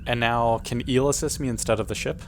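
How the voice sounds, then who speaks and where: A man's voice: medium pitch, steady tone, fairly quick. A man playing a tabletop game, chatting casually in a self-deprecating, joking way. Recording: noisy room background.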